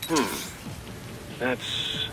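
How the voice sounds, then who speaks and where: An actor playing an earnest, worried military mission colleague in a video game. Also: male voice, very slow, music in the background. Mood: shaken, pondering. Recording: loud rain-like noise behind voice.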